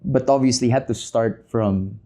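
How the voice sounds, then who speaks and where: A man, a podcast guest who talks through his answers with many pauses and self-deprecating asides. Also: medium pitch, dark tone. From Philippines. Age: 30s. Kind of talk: casual interview chat.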